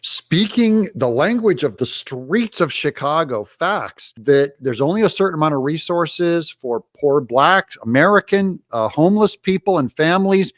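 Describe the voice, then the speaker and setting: Man, sarcastic political commentary, emphatic, slightly higher voice, dry close sound. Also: animated, a little slow, few pauses, no echo. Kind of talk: opinionated commentary over clips.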